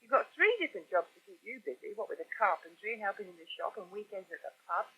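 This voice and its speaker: An actress playing a brisk, bossy, sarcastic English woman in a 1970s radio soap. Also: medium pitch, lively intonation, a bit bright, slightly rough, low-quality recording. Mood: matter-of-fact. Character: brisk, sharp-tongued English woman scolding her man.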